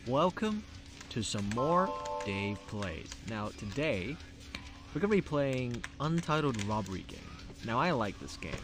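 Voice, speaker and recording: An animated man introduces a gaming video at a medium pitch, swinging up and down as he talks. Prominent music plays behind him. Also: a little slow.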